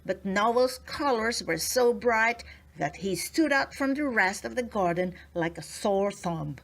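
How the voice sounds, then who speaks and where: A woman's voice: mid-range voice, animated, unhurried, gravelly. A woman narrating a children's storybook in a scripted read-aloud style.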